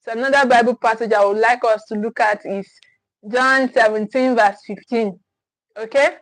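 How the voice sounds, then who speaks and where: Host: Nigerian woman teaching parents, emphatic and repetitive, higher voice, close and dry sound. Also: fairly even intonation, moderate pace, dry recording, rough recording. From Nigeria, Edo State. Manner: earnest and emphatic, repeats points, asks "okay?". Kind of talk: live-streamed parenting class.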